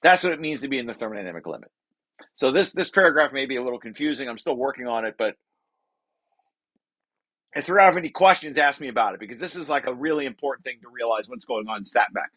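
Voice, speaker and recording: A man reading aloud from a technical paper and explaining it informally, halting and correcting himself as he repeats words. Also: medium pitch, expressive, a bit bright, dry recording, rough recording. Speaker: presenter and paper author.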